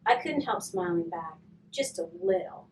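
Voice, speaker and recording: A woman reading a children's storybook aloud with expressive, emphatic narration and dramatic pauses. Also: fairly low pitch. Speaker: volunteer storybook reader. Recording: roomy, distant mic, faint noise.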